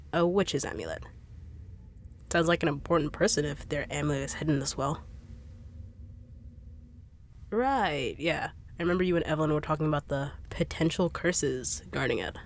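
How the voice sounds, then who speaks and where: A woman's voice: deep voice, slight background noise. A woman voicing a blunt, sarcastic female bodyguard on a treasure hunt, in an audio roleplay drama. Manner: fast-talking, no-nonsense, dry and teasing.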